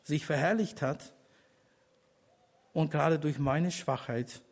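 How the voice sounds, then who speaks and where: Husky older man giving an earnest sermon testimony. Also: fairly high pitch. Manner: earnest, deliberate with pauses, rising to emphatic appeals. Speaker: church elder giving a testimony.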